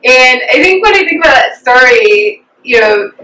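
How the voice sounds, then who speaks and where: A young woman from the United States talks casually as an interview guest, thinking aloud with plenty of fillers. Her voice is bright and clear-edged. She sits back from the microphone in an echoey room. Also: sits high, animated, normal speed. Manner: chatty and reflective, with frequent fillers. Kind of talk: casual personal storytelling. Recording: roomy echo, distant from the mic.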